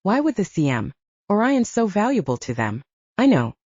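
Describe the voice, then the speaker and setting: A woman's voice: medium pitch, sing-song, moderate pace, darkish tone, dry recording, highs cut off. A woman voicing an anxious, curious young heroine with an earnest, questioning manner in an audiobook. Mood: troubled.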